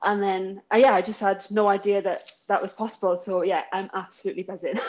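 A woman's voice: mid-range voice, steady tone, a little fast, lo-fi sound. A woman in her thirties with a Welsh accent, chatting casually in an interview with lots of fillers and giggles. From South Wales. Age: 30s. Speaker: interviewee, new model.

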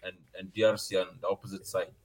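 A man's voice: deep voice, little pitch movement, quick pace, low-quality recording. A man with a South African accent in casual football chat, frank and emphatic, repeating his points.

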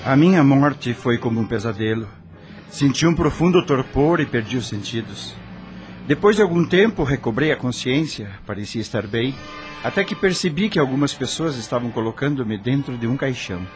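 A man's voice: mid-range voice, lively intonation, normal speed, lightly acted. Man acting a tormented dead rocker, music underneath. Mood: anguished. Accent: Brazilian. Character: dead rock star recounting his burial, tormented, regretful. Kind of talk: dramatic radio drama monologue.

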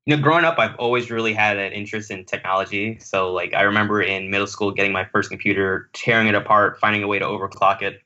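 A man's voice: fairly low pitch, fairly even intonation, fast talker, few pauses, bright, forceful tone. A young man from Jamaica, a podcast guest chatting casually about his path into tech, with lots of "like" and "you know" fillers. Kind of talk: casual interview chat. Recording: dull lo-fi audio.

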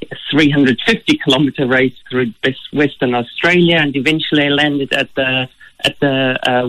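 A man's voice: mid-range voice, fairly bright tone, poor audio. A man from Germany with a German accent, a guest in an interview, answering earnestly and often repeating words as he thinks. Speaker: guest, ultra runner.

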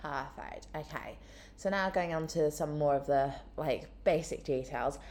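A woman's voice: sits low, a little slow, darkish tone, slight background noise. A woman interviewing a guest in person, upbeat and encouraging with her questions. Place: indoor room, in person.

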